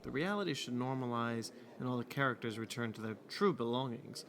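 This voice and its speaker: A man playing a calm, matter-of-fact character in a comedy audio drama, speaking in a deliberate, wry way. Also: medium pitch, a little hiss or hum. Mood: wry, pondering.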